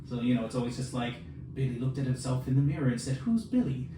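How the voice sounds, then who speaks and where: A man's voice: medium pitch, animated, fast. A man chatting casually as a podcast co-host, joking and self-deprecating about his own writing. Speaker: podcast co-host and fiction writer. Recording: roomy, distant mic, clear background noise.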